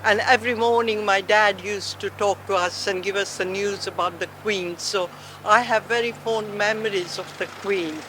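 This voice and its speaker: A man from Uganda, reminiscing warmly in a street interview, at times halting over his words. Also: high-pitched, fairly even intonation, soft background music, poor audio. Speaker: member of the public.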